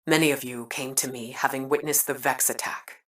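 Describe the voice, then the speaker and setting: A woman's voice: extremely low pitch, fairly even intonation, fairly quick, fairly bright tone, echoey room, far from the mic. A woman voice actor playing a composed, earnest commander and mentor in a video game. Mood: solemn.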